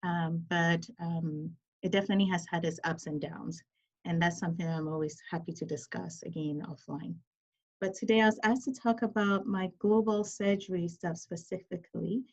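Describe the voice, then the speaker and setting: Woman, thirties, chatty lecture, lowish voice, dull lo-fi call audio. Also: moderate pace, a little mellow. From Ghana. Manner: conversational, many ums, apologetic asides. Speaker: guest surgeon lecturer. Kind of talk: lecture over a video call. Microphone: video call.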